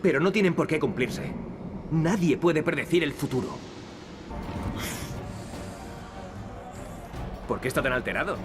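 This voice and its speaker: An actor with a Castilian Spanish accent playing a cocky, wisecracking young adventurer in a video game. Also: male voice, a little high, lively intonation, fairly quick, natural voice. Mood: doubtful. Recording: game music behind the voice. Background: game music.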